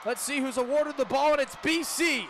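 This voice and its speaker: Young American male radio play-by-play announcer, very high pitch, dramatic delivery, faint venue noise behind. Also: normal speed. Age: young adult. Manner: emphatic, dramatic calls that swell into shouting. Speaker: student radio play-by-play broadcaster. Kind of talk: live lacrosse radio commentary. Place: stadium, calling games in person.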